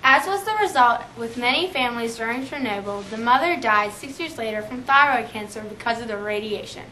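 A woman's voice: a little high, lively intonation, fairly quick, sharp tone. A young teenage girl playing a serious news reporter in an amateur scripted school skit. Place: office room with bookshelves. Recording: slightly noisy, distant camcorder sound.

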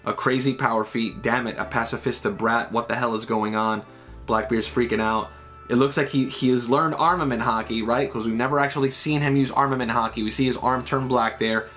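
A man's voice: mid-range voice, steady tone, fairly quick, a bit bright, soft background music. Chatty man in his thirties, breaking down a comic chapter. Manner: loose and chatty, many fillers and "right?" tags. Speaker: manga reviewer. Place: small room at home, indoors.

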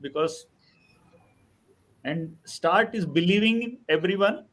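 A man in his fifties speaking reflectively as a panelist on a video call, halting and rephrasing as he goes. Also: sits high, a bit bright. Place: wood-furnished room, on a video call.

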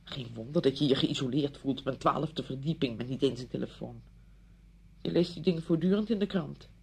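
A woman's voice: a bass voice, fairly quick, vocal fry, a little mellow, husky, full character voice. An actress playing a well-meaning, pushy woman friend in a radio play, insistent and easily defensive, full of practical advice. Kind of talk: Dutch radio play acting.

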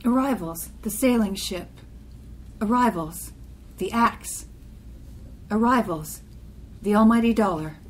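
Mid-pitched woman reading poetry. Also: takes it slowly, long pauses, breathy edge. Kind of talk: scripted poetry reading in short phrases.

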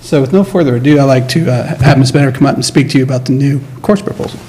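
A man of middle pitch gives a formal presentation to a school board. He speaks in measured steps and stops often to say uh. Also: warm tone, a little hiss or hum. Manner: measured, with frequent uh fillers and restarts. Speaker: career and technical education presenter. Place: school board meeting room.

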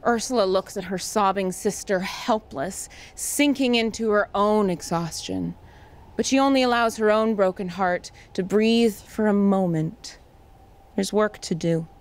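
A woman's voice: medium pitch, a little slow. A woman host giving scripted dramatic narration of a story.

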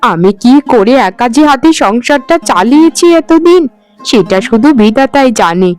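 A woman acts out a careworn widowed maid in a cartoon. Her voice is high, plaintive and earnest, set over music. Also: animated, moderate pace, a little mellow, lightly acted. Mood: worried, resolute. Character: careworn widowed housemaid, mother of two daughters. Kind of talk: Bengali cartoon voice acting.

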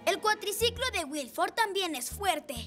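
Very high-voiced eager boy motorcycle. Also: animated, a little slow, pushed and bright, heavily acted. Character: eager, polite little boy rescue motorcycle. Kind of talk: animated children's dub. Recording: cartoon music behind.

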